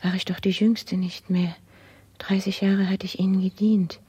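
Anxious old servant woman in a radio drama, very breathy, soft mellow tone, humble, brooding. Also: mid-range voice, little pitch movement, moderate pace, no room sound. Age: older. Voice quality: husky, breathy, half-whispered. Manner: subdued, repetitive, brooding. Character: anxious old maidservant, 59, humbly devoted, afraid of abandonment. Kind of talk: 1960s radio play monologue.

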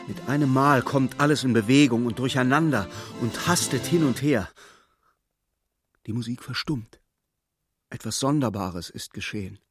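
An actor as the narrator of a literary radio play, telling the story precisely and with dramatic suspense. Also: male voice, mid-range voice, normal speed, slightly rough, no echo, lightly acted. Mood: brisk, excited. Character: male storybook narrator, vivid and urgent observer. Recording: studio radio play with music.

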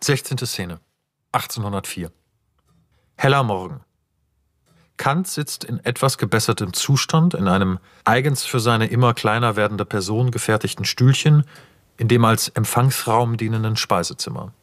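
A man narrating a radio play, reading out scripted stage directions in a measured, matter-of-fact way. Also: lowish voice, no room sound, close to an everyday voice.

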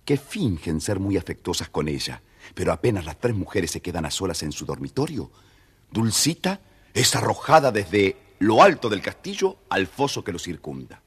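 Animated low-voiced storyteller man. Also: normal speed, husky. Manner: dramatic, addresses young listeners. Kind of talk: expressive scripted children's story narration.

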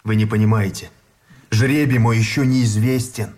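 An actor in a Russian radio drama playing a plain-spoken, grumbling captured soldier with a blunt, folksy manner. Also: male voice, fairly low pitch, steady tone, moderate pace, darkish tone, natural voice. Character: plain-spoken, grumbling rank-and-file soldier held prisoner.

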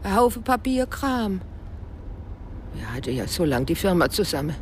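A woman's voice: fairly low pitch, big pitch swings, moderate pace, slight background noise. An actress playing a harried, evasive female boss in a radio drama, formally polite, breaking off her sentences. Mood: flustered, apologetic. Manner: flustered, evasive, broken-off sentences. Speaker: supporting character.

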